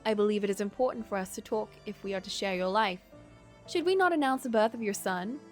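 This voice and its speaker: A woman's voice: medium pitch, fast talker, soft background music, lightly acted. A woman voicing a lord's aggrieved mistress in a visual novel, pleading formally and earnestly. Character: aggrieved mistress, mother of an illegitimate child, pleading.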